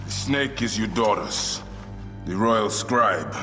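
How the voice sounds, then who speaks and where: Brooding, vengeful warrior, slow and dramatic. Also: male voice, on the low side, lively intonation. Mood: brooding, intent. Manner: solemn, brooding, bursting into fierce outrage. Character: vengeful Medjay warrior, grieving father and husband. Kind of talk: cinematic video game acting. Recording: reverberant hall, music underneath. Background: music.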